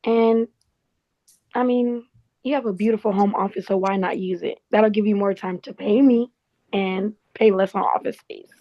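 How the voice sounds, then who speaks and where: Slightly lo-fi audio of a woman acting a helpful woman office assistant in a drama table read. She is conversational and cheeky, with an easygoing delivery at a middling pitch. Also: fairly even intonation, normal speed, natural voice. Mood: helpful, teasing. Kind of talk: casual naturalistic script read.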